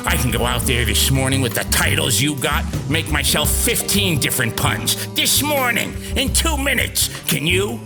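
A man's voice: a little high, sing-song, moderate pace, vocal fry, hardly any pauses, over music, voice pushed up. A man voicing an abusive, boastful male movie critic who brags about his puns. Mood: abusive boasting. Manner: abusive, boastful, shouting insults. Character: bullying male pun-making movie critic.

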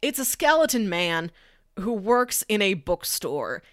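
A woman's voice: medium pitch, big pitch swings, a little slow, no room sound. A woman in a casual podcast conversation, recorded dry with no room sound. She has a mid-range voice and describes things eagerly, piling up adjectives as a co-host would. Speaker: podcast co-host reviewing anime. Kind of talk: casual chat.

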